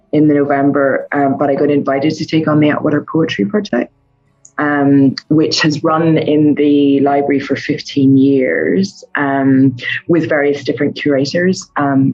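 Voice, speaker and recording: A woman, a poet answering interview questions in a meandering way, with many pauses and self-corrections. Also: a bass voice, darkish tone, slightly rough. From Northern Ireland. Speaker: poet and poetry curator interviewee. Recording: muffled sound with faint music behind.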